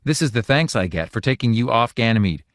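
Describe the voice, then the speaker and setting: A man's voice: mid-range voice, expressive, fast talker, no room sound, pitched above the performer's own voice, natural voice. A man voicing a nervous young husband who pleads and frets, read dry and close. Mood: gloomy. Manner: nervous, imploring.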